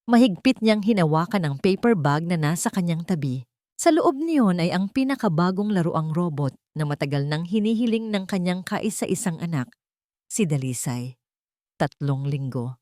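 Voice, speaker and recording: Low-voiced woman narrating a dramatic story, dry sound. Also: lively intonation, moderate pace, mellow, no room sound.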